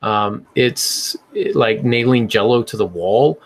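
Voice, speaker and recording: A man's voice: lowish voice, slow pace. A man hosting a podcast, talking casually in a chatty, explanatory way. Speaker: podcast host.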